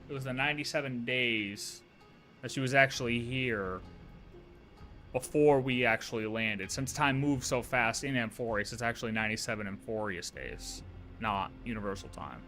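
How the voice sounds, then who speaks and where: A man livestreaming a game, talking casually and thinking out loud in slangy, sometimes profane speech. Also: mid-range voice, moderate pace, soft background music. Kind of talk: casual game playthrough commentary.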